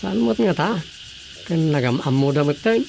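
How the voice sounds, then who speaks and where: An inquisitive village woman with a slightly low voice and an Ilocano accent. She gossips in radio drama comedy style, with faint background noise. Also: animated, normal speed, gravelly, darkish tone. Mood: curious, gossipy.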